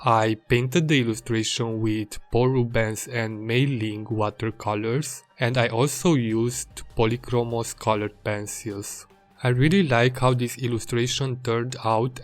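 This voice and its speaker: A man narrates a scripted voice-over for an art video. He speaks slowly at a medium pitch, in a plain and measured manner. Faint music plays behind him. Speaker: illustrator and video creator.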